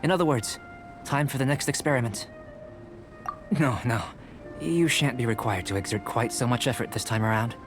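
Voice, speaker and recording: A man playing a composed young alchemist in a video game, speaking in a measured, formal, analytical manner. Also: mid-range voice, music in the background, lightly acted. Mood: curious, composed. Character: calm, courteous young male alchemist and researcher. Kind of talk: video game character dialogue.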